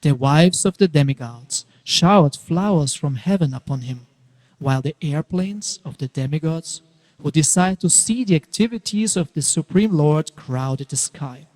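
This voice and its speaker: A man narrating a dramatic scripture reading in a theatrical, emphatic way, swelling and hushing for effect. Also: a little high, lively intonation, normal speed. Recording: long reverberant hall echo.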